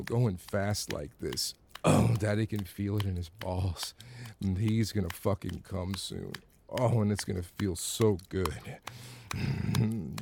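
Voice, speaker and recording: A man speaking an intimate roleplay monologue in slow, husky half-whispers, with soft sighs and moans between phrases. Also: sits low, background noise. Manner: murmuring, sighing and moaning softly. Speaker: solo audio roleplay performer.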